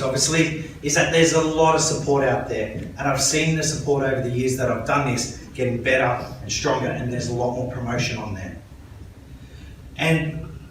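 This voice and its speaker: A man in his thirties with an Australian accent, giving a measured, earnest personal talk to an audience as a volunteer speaker, with light self-deprecating humour. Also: medium pitch, a bit bright, slight background noise. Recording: echoey room, speaker far from mic.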